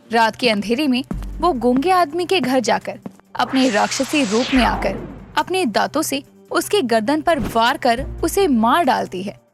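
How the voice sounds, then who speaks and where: A woman narrating a scripted moral story for animation in a dramatic storytelling style. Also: sits high.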